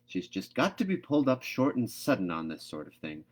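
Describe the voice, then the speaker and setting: A man voicing a stern, sharp-tongued middle-aged farm woman in a storybook, with brisk, emphatic scolding. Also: mid-range voice, wildly swooping pitch, voice pushed up. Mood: grumbling.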